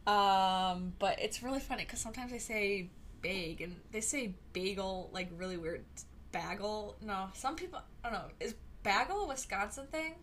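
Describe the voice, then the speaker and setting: A woman's voice: medium pitch, moderate pace, fairly bright tone. Young woman host, solo podcast, loose rambly delivery full of fillers, faint background noise. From Chicago area. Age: young adult. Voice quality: rambling with many fillers and tangents. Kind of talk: casual solo podcast chat.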